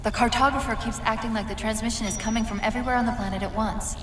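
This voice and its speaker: A woman playing a quick-witted, wry female AI companion in a video game cutscene, articulate and expressive. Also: on the high side, big-room sound, noisy background, close to an everyday voice. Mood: wry, focused.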